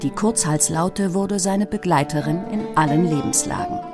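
A woman reading a scripted documentary narration in a measured, even way. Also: lowish voice, over music.

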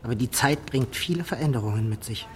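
Man acting a reserved naval officer, mid-range voice, measured, proud, slight background ambience, literary radio play. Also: mid-range voice, slight background noise, lightly acted. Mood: restrained, pointed.

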